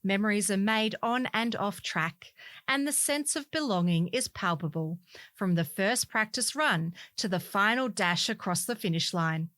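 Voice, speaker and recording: Woman, scripted narration, volunteer article reader, medium pitch, very dry recording. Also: animated, moderate pace, a bit bright, no room sound.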